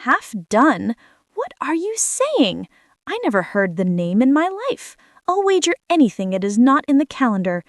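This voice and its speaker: A woman's voice: high voice, very animated, moderate pace, dry recording, clean studio sound. A woman voicing a small, good-natured female mouse in a children's fairy tale, with an earnest, exclamatory manner. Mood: puzzled, suspicious.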